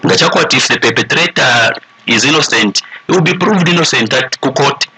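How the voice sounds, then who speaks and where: A man's voice: mid-range voice, a little fast, pushed and bright, a little hiss or hum. A man with a Zambian accent speaking as an expert radio guest, making emphatic, repeated appeals and switching languages mid-sentence. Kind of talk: conversational advocacy in a radio interview.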